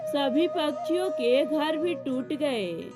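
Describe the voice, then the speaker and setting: A woman voicing a commanding parrot king and protective father in a children's cartoon, with loud music behind. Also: high-pitched, expressive, takes it slowly. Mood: angry.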